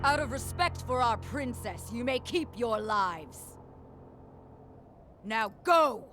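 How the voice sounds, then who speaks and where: A woman playing a proud, stern but tender warrior chieftain in a video game, speaking with measured, solemn authority. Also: fairly high pitch, expressive, over music. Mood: commanding, defiant. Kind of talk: video game cutscene acting.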